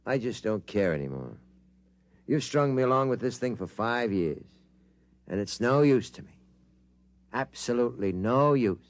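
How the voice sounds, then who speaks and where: A man's voice: mid-range voice, expressive, normal speed, pauses often, no room sound, lightly acted. An actor in a 1950s radio drama playing and narrating as a weary male newspaper reporter with a dry, matter-of-fact delivery. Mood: impatient. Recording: old radio drama with faint music.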